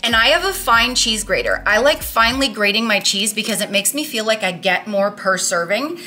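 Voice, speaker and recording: A woman's voice: medium pitch, expressive, moderate pace, bright, forceful tone, soft background music. A woman in her thirties chatting casually as she walks through her meal prep in a home kitchen, upbeat with cutesy words. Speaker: vlogger.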